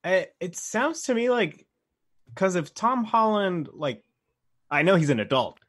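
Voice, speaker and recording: A man's voice: high voice, sing-song, measured pace, a bit bright. A man co-hosting a casual chat about games and movies, conversational and thinking aloud as he goes. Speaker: podcast co-host.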